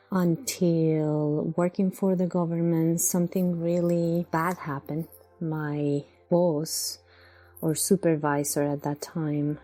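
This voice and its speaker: Slow, mellow-voiced woman from Colombia. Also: on the low side. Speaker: guest architect. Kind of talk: recorded personal account.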